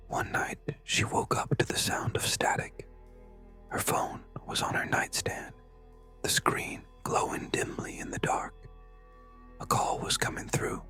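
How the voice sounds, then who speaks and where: A narrator telling a scary story in a breathy, unvoiced whisper, hushed and suspenseful, with pauses. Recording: music behind. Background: background music.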